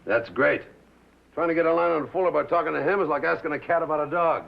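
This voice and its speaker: A man's voice: a little high, quick pace, vocal fry. A man playing a brash, defensive American bandleader in 1950s film drama style, loyal and slangy, with punchy wise-guy talk. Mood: scornful. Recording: muffled vintage film soundtrack.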